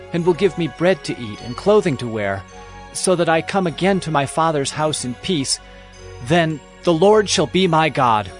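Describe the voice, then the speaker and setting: A man's voice: fairly high pitch, lightly acted. An actor playing an earnest young male traveler, speaking emphatically in dramatized scripture.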